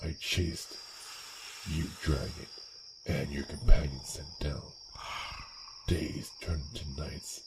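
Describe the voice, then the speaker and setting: An extremely low-voiced, vengeful male assassin, as a man acts him out in a fantasy ASMR roleplay. He speaks slowly and intensely, with brooding pauses and phrases that sink toward a whisper. Loud cricket and insect ambience runs under the voice. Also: very noisy, exaggerated voice. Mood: hateful menace. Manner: brooding, intense, halting delivery with breathy near-whispered phrases. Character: vengeful male dragon assassin, fiery and hate-driven. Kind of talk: dramatic first-person roleplay monologue, ASMR.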